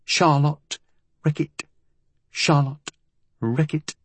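Man as young girl, sullen, sharp-tongued, muttering, needling, husky, audiobook character. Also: fairly high pitch, very animated, normal speed, dry recording, voice pushed up. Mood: taunting whisper.

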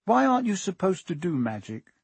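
A man's voice: high-pitched, very animated, brisk, vocal fry, breathy edge, no room sound, voice pushed up, exaggerated voice. A man voicing an earnest, curious young wizard boy in a hesitant, questioning manner.

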